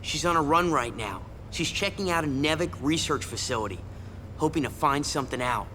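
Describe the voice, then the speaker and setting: An actor playing an excitable, friendly young male survivor in a video game cutscene. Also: on the high side, a little fast, a little hiss or hum. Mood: earnest, explaining. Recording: music clearly under the voice.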